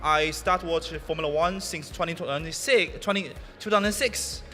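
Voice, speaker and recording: A Chinese man, a sim racer whose first language is Mandarin, introducing himself on stage in halting English with frequent restarts. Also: fairly high pitch, bright, forceful tone, long reverb tail, soft background music. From China. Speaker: sim racing competitor. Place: event stage, microphone in a hall.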